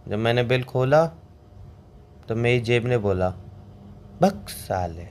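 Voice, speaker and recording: A man acting as a cheeky young boyfriend in a phone-call drama. He has a mid-pitched voice and argues like a colloquial storyteller, always justifying himself. Some ambient noise sits behind him. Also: animated, takes it slowly, darkish tone. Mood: teasing storytelling. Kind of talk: scripted comic phone-call drama between a couple. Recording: clear background ambient noise.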